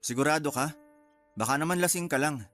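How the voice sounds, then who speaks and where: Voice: medium pitch, fairly quick, fairly bright tone, faint music behind, lightly acted. An actor playing a guarded, protective young love interest in a radio drama, with a Filipino accent and restrained delivery. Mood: guarded, concerned.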